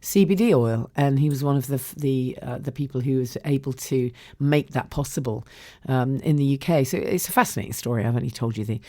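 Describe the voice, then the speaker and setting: A woman hosting a podcast in a croaky voice, chatting casually and rambling with many ums and restarts. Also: very deep voice, brisk, dark tone, no room sound, clean studio sound. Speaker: podcast host. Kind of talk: casual solo podcast chat.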